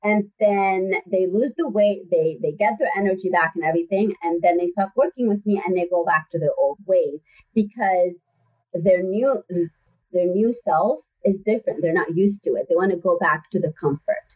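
A woman health coach chatting as a guest in an interview, lively and acting out the people she quotes. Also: on the low side, moderate pace. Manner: animated storyteller who acts out voices in quotes. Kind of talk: casual interview chat. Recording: distant, dull lo-fi sound.

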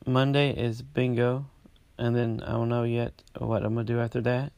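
A man hosting his own podcast, talking casually about his week, congested and halting with many restarts. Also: mid-range voice, level tone, vocal fry, a little mellow, no room sound. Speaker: podcast host. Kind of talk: casual personal update.

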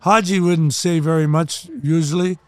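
A man in his eighties, a retired hockey player, telling gruff, joking stories in casual chat on a podcast. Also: a little high, fairly even intonation, normal speed, a little mellow, no room sound. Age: 80s.

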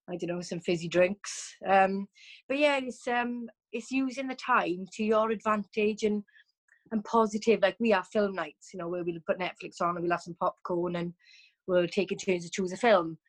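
A woman's voice: mid-range voice, fairly even intonation, normal speed, low-quality recording. A woman talking casually as a guest over a video call, chatty, with frequent ums and restarts.

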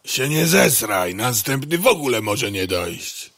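A man's voice: mid-range voice, big pitch swings, unhurried, a bit bright, no echo, studio quality. A man playing a crude, mocking, gossipy postman in a comic radio play, teasing in a sneering, theatrical way.

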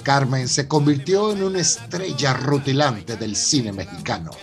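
A man's voice: medium pitch, measured pace, faint music behind, lightly acted. A man with a Venezuelan accent narrating a melodramatic radio soap in a theatrical storytelling manner. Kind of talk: scripted radio drama narration.

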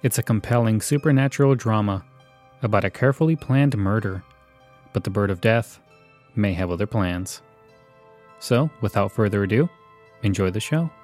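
A man's voice: lowish voice, expressive, moderate pace, pauses often, a little mellow. A man giving a scripted introduction as a podcast host. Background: faint music.

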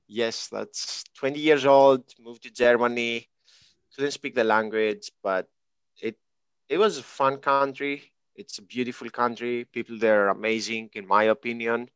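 A man in his twenties from Greece, a guest talking through his life story in a relaxed, reflective way, with pauses and fillers. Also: mid-range voice, clean tone, no room sound. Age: 20s. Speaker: interview guest. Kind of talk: casual conversation.

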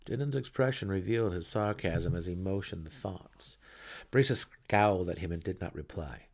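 A man reading a fantasy audiobook as a dramatic narrator, with theatrical, deliberate emphasis. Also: low voice, gravelly, a little mellow.

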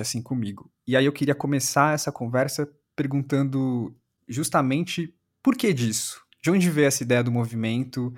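A Brazilian man hosting a podcast, introducing and interviewing in a conversational way. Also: medium pitch.

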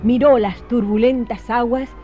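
A woman narrating a scripted historical radio drama in a solemn, measured way. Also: a little high, fairly even intonation, close to an everyday voice. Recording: dull lo-fi radio recording with music.

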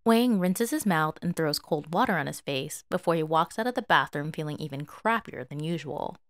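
Animated woman narrating fiction. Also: low-pitched, moderate pace, dry recording, studio quality. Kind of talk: expressive scripted fiction narration.

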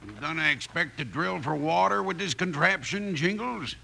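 An actor playing a cranky, griping frontier storekeeper with a folksy American drawl in an old radio western. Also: male voice, on the high side, expressive, moderate pace, gravelly, sharp tone, full character voice. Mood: grumbling. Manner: folksy, grumbling, rustic drawl. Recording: very noisy vintage radio recording.

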